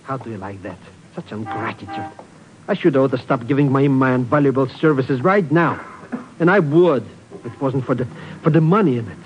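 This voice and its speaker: An actor playing an excitable, greedy comic sidekick who blusters and stammers, in 1950s radio drama style. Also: male voice, mid-range voice, moderate pace, natural voice. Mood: indignant. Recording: hissy, dull 1950s radio recording.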